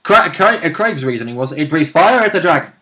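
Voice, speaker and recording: A man with a fairly high, very animated voice chats as a player in a tabletop game. His delivery is casual and joking, with a wry streak. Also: brisk. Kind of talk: casual banter.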